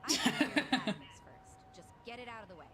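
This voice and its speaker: A woman's voice: fairly high pitch, animated, fast talker, a crowd in the background, lightly acted. An actress playing an earnest, caring and plucky woman in a video game. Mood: playful. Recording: game music behind.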